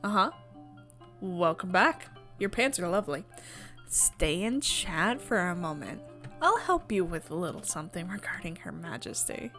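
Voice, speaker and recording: A woman's voice: on the low side, sing-song, moderate pace, a bit bright, faint music behind, voice pushed down. A woman voicing an eccentric, playful female tea-party host with a whimsical, teasing manner in a visual novel. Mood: breezy.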